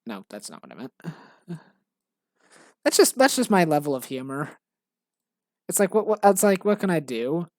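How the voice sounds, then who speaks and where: A woman's voice: deep voice, very animated, normal speed, long pauses, no echo, studio quality. A woman chatting casually on a stream about the game she is making, rambling and self-mocking. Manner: rambling, lots of fillers and restarts, self-mocking. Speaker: game developer streaming her work.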